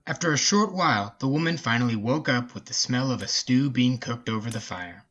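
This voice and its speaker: A man's voice: mid-range voice, animated, fairly quick, a bit bright, muffled recording. A man hosting a story podcast, talking and narrating in a casual, folksy way.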